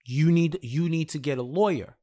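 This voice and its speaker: A man's voice: a little high, normal speed, mellow. An American man in his thirties co-hosting a casual TV recap, emphatic and repeating phrases as he builds his point, close on a podcast mic. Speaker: podcast co-host. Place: small home studio indoors. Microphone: large podcast mic close up.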